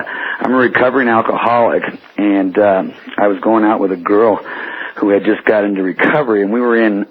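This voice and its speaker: An American man calling a radio show, telling a personal story in a halting way over the telephone. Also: on the low side, slight background noise. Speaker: phone-in caller. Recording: tinny, muddy telephone line.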